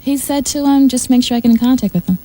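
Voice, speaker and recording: A woman's voice: high-pitched, quick pace, dark tone. A woman in her twenties chatting casually as a guest on a radio show. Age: 20s. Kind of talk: casual chat.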